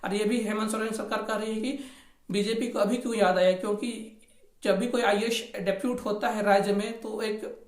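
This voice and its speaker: A man's voice: high-pitched, steady tone, a little fast. A man presenting an informal news explainer, repeating points and mixing English terms into his Hindi. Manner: repetitive, mixes in English terms. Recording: echoey room recording.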